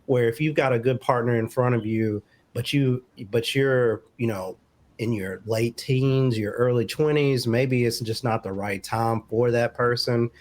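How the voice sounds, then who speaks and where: A man co-hosting a talk show, giving relationship advice in a measured, explanatory way with casual phrasing. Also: medium pitch, steady tone, a little mellow.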